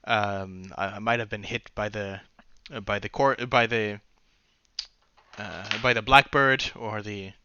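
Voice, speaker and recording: A man hosting a tabletop game as game master, slightly raspy from a cold, laughing often and rambling with restarts. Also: on the low side, fairly even intonation, measured pace, bright, forceful tone, no room sound, muffled recording.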